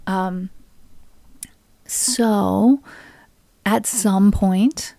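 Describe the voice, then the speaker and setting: Woman streamer, unhurried and easygoing, soft mellow tone, dry close mic. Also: mid-range voice, creaky, no echo. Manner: slow, relaxed and friendly, greets viewers by name, with small laughs. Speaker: streamer and ukulele player. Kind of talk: casual chat to a live stream audience. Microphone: USB microphone on a boom arm with a pop filter.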